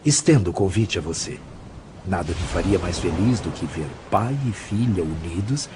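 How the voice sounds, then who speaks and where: A Brazilian actor playing a venerable, compassionate spirit mentor in an audio drama, speaking solemnly, with deliberate eloquence. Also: male voice, low voice, expressive, background noise. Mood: kindly. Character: Brother Félix, grave, compassionate, venerable male spirit mentor. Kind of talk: scripted audio drama monologue.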